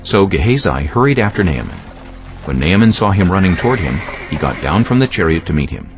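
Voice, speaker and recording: A man narrating a dramatized scripture audiobook in a solemn, measured storytelling manner. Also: low-pitched, sing-song. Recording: dull lo-fi sound, faint music bed.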